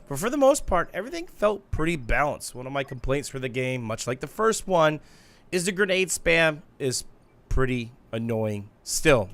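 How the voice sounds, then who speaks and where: A man's voice: fairly high pitch, background noise. A man giving casual gameplay commentary in an informal way, full of gamer slang. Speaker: gaming video creator.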